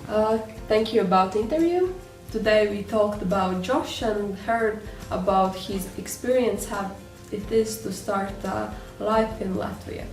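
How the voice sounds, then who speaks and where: A woman interviewer speaking halting, non-native English. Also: medium pitch, darkish tone. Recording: echoey room, distant mic, faint music.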